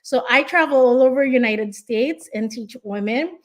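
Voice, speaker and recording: A woman with a Filipino accent speaks in a somewhat high voice, earnest and encouraging as she gives a motivational speech. She sounds a little tired, often restarting or repeating a phrase before pressing on. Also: moderate pace. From Philippines. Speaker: real estate investor and coach.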